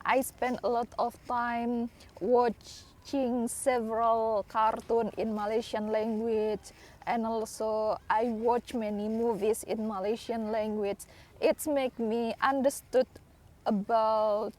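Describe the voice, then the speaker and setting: A young woman from East Java speaking English with an Indonesian accent, Javanese her first language, in a hesitant personal vlog full of pauses and fillers. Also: on the high side, flat delivery, a little mellow. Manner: hesitant, many uh fillers, non-native grammar. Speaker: English learner.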